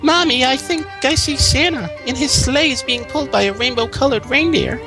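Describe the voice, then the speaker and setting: A woman plays an innocent, excitable little girl in a storybook-like fan fiction reading. The voice is high, over clear background music. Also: moderate pace. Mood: excited wonder. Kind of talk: fan fiction read-aloud.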